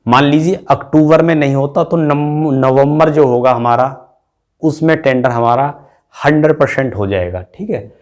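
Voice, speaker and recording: A man's voice: fairly high pitch, moderate pace, darkish tone. A man giving an informal, repetitive step-by-step explanation as a teacher to students, often adding a short check for understanding. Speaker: exam-prep teacher and channel host. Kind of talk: informal explainer addressed to exam candidates.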